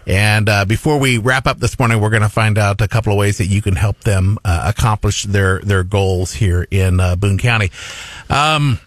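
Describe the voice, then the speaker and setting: A man's voice: lowish voice, a bit bright, no room sound. Middle-aged man hosting radio talk. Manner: earnest, rambling with many restarts and fillers. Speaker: radio talk show host. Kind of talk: radio talk show interview. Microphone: studio microphone.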